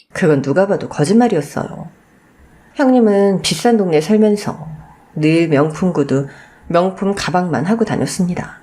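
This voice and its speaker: Expressive woman narrating a dramatic story. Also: lowish voice. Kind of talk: dramatic first-person scripted narration.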